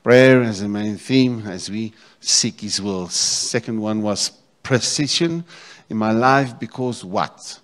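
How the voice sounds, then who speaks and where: A man's voice: lowish voice, takes it slowly. A middle-aged South African man preaching a sermon as a pastor, repeating key phrases and checking in with his congregation. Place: church stage, indoors. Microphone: handheld microphone.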